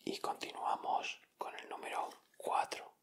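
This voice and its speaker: A man with an accent from Spain, speaking in a breathy, unvoiced whisper as he gives casual ASMR commentary. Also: measured pace, a bit bright. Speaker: ASMR video host. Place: indoors at a table.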